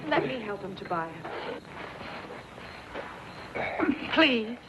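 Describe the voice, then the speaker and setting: A woman's voice: medium pitch, lively intonation, normal speed, noisy background, lightly acted. An actress playing a caring, spirited young frontier woman in a radio western, earnest and pleading. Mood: worried. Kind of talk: old-time radio western acting. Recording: dull lo-fi radio drama, faint music.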